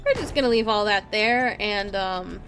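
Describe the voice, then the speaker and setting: A woman's voice: medium pitch, moderate pace, fairly bright tone, faint music behind. A woman giving casual live gameplay commentary in a playful way, talking to herself and her chat. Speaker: game streamer, host.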